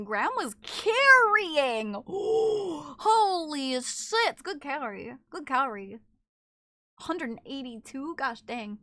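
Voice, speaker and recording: A woman streamer chatting casually with her viewers in an animated, teasing way. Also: sits high, no room sound, clean studio sound. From not from Japan. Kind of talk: casual live-stream chat.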